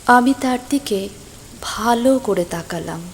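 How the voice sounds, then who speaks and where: A woman playing a stranded, concerned young woman who narrates her own mystery story, emotive and suspenseful, with deliberate pauses. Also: fairly high pitch, lively intonation, slight background noise. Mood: stunned, wistful. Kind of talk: scripted first-person dramatic story narration. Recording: voice over rain and thunder ambience.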